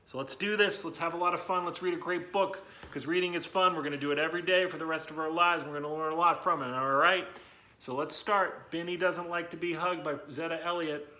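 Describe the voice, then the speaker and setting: A man hosting a read-aloud lesson for children, talking in an animated, chatty, encouraging way with emphatic words and questions to his listeners. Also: fairly high pitch, brisk. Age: adult, not young.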